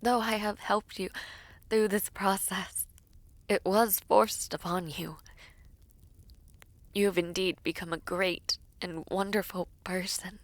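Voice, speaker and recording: Woman acting as devoted royal villainess princess, slow measured delivery, formal, tender, close dry sound, intimate audio roleplay. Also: medium pitch, dry recording, lightly acted. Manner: formal, measured, hesitant phrasing.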